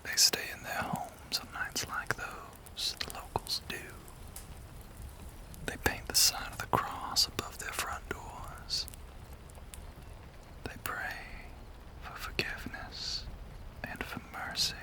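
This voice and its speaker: A man playing a courtly, menacing, ageless vampire with a Southern US accent, in an intimate audio roleplay, breathy and mostly whispered, with theatrical, genteel phrasing and long pauses. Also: sharp tone. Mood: ominous storytelling. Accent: American South.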